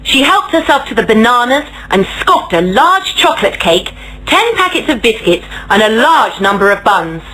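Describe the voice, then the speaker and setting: A woman with a rather high voice reads a children's story aloud. Her delivery is deliberate and expressive, with very animated intonation. Faint noise sits behind her. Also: rough recording. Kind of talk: scripted children's story narration.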